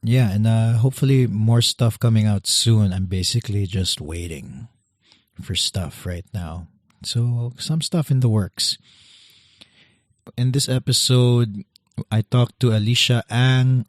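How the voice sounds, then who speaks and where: Soft-spoken hoarse man. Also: fairly low pitch, measured pace, no room sound, clean studio sound. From Philippines. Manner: quiet, hesitant, many restarts. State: losing his voice, speaking softly. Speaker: podcast host. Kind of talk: casual solo podcast intro.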